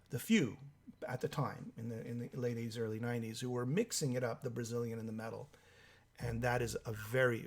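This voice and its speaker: Host, male, hoarse from a cold, reading viewer comments on a live stream, halting delivery, mid pitch. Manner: halting, thinking aloud, many fillers. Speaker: livestream host.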